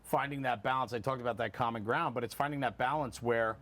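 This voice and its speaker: A man's voice: mid-range voice, fast talker, fairly bright tone. A man hosting a conversational round-table interview, speaking in an earnest, emphatic way. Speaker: podcast host. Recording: clear background room noise.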